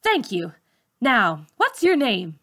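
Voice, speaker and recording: A woman's voice: fairly high pitch, wildly swooping pitch, takes it slowly, fairly bright tone, exaggerated voice. A woman voicing a poised, gracious female teacher in a storybook, polite and composed in manner.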